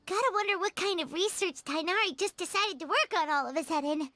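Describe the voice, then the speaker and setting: A woman voicing a tiny, excitable childlike fairy companion girl in a video game, exclamatory and protective. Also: very high-pitched, fairly quick. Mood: puzzled, curious. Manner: exclamatory, refers to self in third person. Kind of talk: video game character dialogue. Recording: loud music behind the voice.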